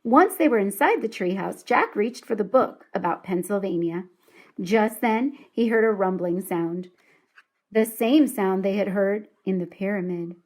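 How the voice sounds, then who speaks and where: Slow-paced woman reading a children's story. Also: medium pitch. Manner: deliberate, dramatic storytelling for children. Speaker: teacher reading a children's book aloud. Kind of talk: expressive read-aloud story narration.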